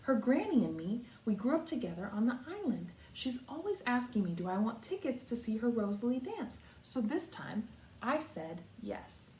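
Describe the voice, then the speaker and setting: A woman's voice: fairly high pitch, very animated, normal speed, warm tone. A woman reading the part of a kind, encouraging grandmother in a children's storybook, reassuring and plain-spoken. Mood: fond, chatty. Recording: roomy echo, distant mic, faint noise.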